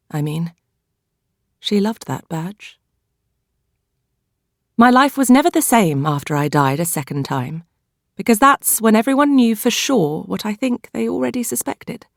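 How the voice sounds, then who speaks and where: A woman with a British accent narrates a novel in the first person, in a measured, expressive storytelling manner. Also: medium pitch, vocal fry, no echo, studio quality. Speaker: audiobook narrator.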